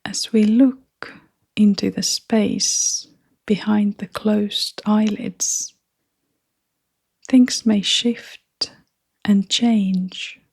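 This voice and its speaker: Very slow, breathy woman guiding meditation. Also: medium pitch, steady tone. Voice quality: soft, breathy, half-whispered. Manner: gentle, unhurried, spacious phrasing. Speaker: meditation guide. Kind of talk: guided meditation.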